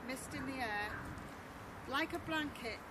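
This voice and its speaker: A woman reading a poem aloud with slow, careful recitation at an outdoor memorial. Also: high voice. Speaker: poetry reader. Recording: loud outdoor noise. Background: outdoor ambience.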